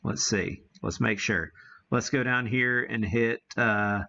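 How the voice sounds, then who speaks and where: An American man hosting a casual live demo, thinking aloud in a folksy way, on muffled low-fidelity stream audio. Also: takes it slowly, gravelly, bright, forceful tone. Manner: folksy, mild homespun exclamations. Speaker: livestream host demonstrating ham radio gear.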